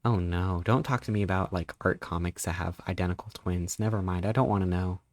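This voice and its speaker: A man in his thirties, a live streamer chatting casually and greeting viewers, rambling playfully with sudden squeaky jumps in pitch. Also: fairly even intonation, fairly quick, darkish tone, dry recording, clean studio sound. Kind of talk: casual live-stream chat reading chat messages.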